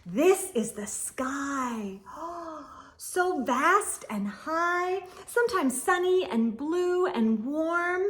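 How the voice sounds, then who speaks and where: Female children's storyteller, high voice, unhurried, dramatic read-aloud, swooping expressive intonation. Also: slight background noise. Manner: dramatic, drops into whispers, addresses young listeners.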